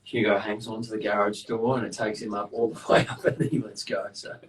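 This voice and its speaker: Man, easygoing interview guest, lowish voice, a little off the mic. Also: level tone, a little fast, distant mic, rough recording. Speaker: guest, real estate agent. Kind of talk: casual interview answers.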